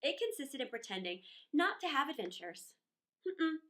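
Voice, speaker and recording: A woman reading a children's story aloud in an expressive, dramatic way, with musing asides and pauses. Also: sits high.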